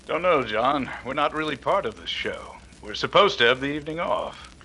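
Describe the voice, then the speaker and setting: Male actor as 1930s radio sleuth: authoritative, dryly witty, crisp forward tone, lively intonation. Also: on the high side, moderate pace, slight background noise. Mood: cautioning.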